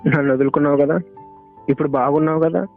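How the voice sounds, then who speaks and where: Hurt, fast-talking young Telangana man on phone. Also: on the high side, little pitch movement. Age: young adult. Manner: reproachful, repeating phrases insistently. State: hurt, upset. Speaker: caller's former boyfriend. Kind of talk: emotional private phone argument. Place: phone call. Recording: tinny phone call recording with music. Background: music.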